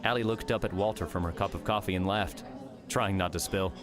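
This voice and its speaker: A man with a low voice narrates an audiobook story from a script. Music plays clearly behind his reading. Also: steady tone, a little fast.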